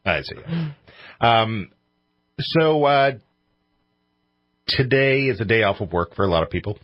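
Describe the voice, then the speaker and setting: A man's voice: fairly low pitch, animated, measured pace, dry recording, lo-fi sound. A man hosting a radio show in casual chat, with an informal, joking manner.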